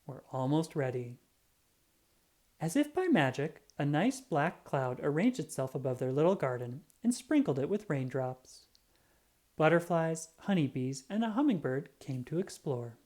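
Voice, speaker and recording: A woman reading a children's book aloud in an animated, expressive storytelling style. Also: sits low. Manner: expressive, drawn-out emphasis on sound words.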